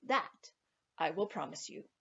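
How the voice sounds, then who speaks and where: A woman voicing a sly, cross little old leprechaun man in a storybook, with a sharp, wheedling manner. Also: medium pitch, animated, a little slow, husky, lo-fi sound, exaggerated voice. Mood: conceding. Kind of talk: storybook character voice.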